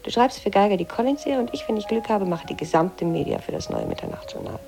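An actress playing a practical, reassuring woman in intimate radio drama dialogue, with a mellow, creaky voice. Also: medium pitch, huge pitch swings, fast, music in the background. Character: practical, reassuring woman, career-minded media worker.

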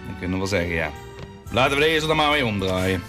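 A man's voice: deep voice, expressive, quick pace, bright, forceful tone, soft background music. A man playing a grumbling, exasperated village carpenter with a Brabant Dutch accent in a comic radio play. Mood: weary. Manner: blustering, prone to exasperated cursing.

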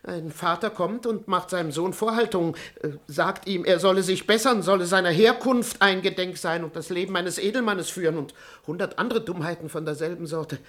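An actor playing a servile, cowardly manservant in a classical comic radio play, obsequiously flattering his master. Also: high-pitched.

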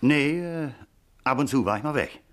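An actor playing an eager, hesitant taxi driver witness in a 1950s radio crime drama, chatty and hemming. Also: male voice, medium pitch, lively intonation, a bit bright, lightly acted. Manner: halting, hemming, chatty, small nervous chuckles.

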